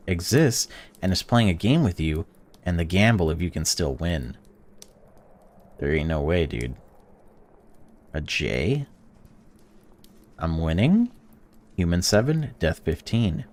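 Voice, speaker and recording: A man with a very low voice reads a visual novel's narration aloud in an even way. He breaks off now and then to blurt out excited or indignant reactions as he plays. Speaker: gaming channel host. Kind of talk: reading a visual novel aloud while playing.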